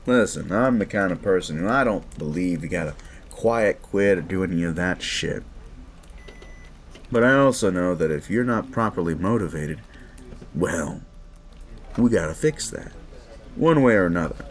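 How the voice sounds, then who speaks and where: A man's voice: sits low, expressive, normal speed, long pauses. A man playing a smug, sleazy werewolf boss in an audio roleplay, talking down to the listener in a mocking, patronizing drawl. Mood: condescending. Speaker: office boss.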